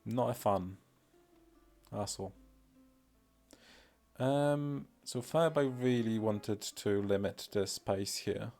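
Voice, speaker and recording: A man's voice: on the low side, takes it slowly, gravelly, pauses often, darkish tone, faint music behind. A man with a Polish accent, a native Polish speaker, streaming casually and rambling with many fillers and self-deprecating remarks. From Poland. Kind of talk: casual live-stream commentary.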